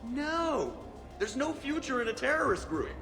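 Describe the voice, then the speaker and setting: Sarcastic young space pilot, high pitch, mock-serious quips, music behind. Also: male voice, big pitch swings, a little slow, heavily acted. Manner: snarky, quippy, mock-serious. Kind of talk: scripted game dialogue. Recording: music under the voice.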